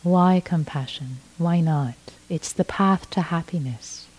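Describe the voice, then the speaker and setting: A middle-aged woman from Iran, a native Farsi speaker, giving a gentle dharma talk as a meditation teacher, often restarting her phrases. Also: on the low side, breathy edge, low-quality recording. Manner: slow, gentle, with frequent restarts.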